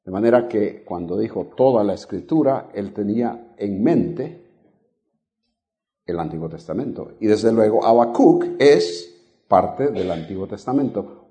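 Older Honduran man preaching slowly. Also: on the low side, mellow. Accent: Honduran Spanish, faded. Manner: measured, stretches key words, asks the congregation questions. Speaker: guest preacher. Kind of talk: Bible teaching sermon. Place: church sanctuary.

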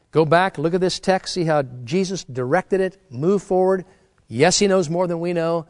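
A man's voice: a little high, dry recording, studio quality. A middle-aged man preaching a sermon, earnest and exhorting, often restarting his words as he talks. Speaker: pastor.

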